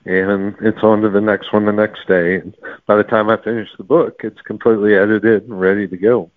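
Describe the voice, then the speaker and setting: A man's voice: on the low side, level tone, fairly quick, dry recording. A man speaking conversationally as an author being interviewed, on a narrow-band call. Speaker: novelist, interview guest.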